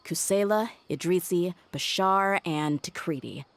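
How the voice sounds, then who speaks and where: Low-voiced, tough female mercenary. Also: unhurried. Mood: calm, regretful. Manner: assured, menacing, businesslike. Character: tough, confident female mercenary, coolly threatening. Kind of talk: video game voice acting.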